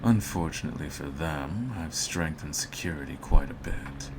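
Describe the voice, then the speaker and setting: A man's voice: a bass voice, expressive, moderate pace, background noise. A man playing a sadistic, possessive vampire master in an intimate ASMR roleplay, teasing and menacing, often slipping into whispers. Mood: smug, threatening. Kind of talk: ASMR roleplay, intimate scripted audio drama.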